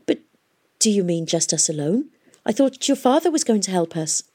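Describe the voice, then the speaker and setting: A woman's voice: medium pitch, big pitch swings, moderate pace, warm tone. Woman as an awkward, uncertain young apprentice. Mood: worried confusion. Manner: hesitant, puzzled, questioning.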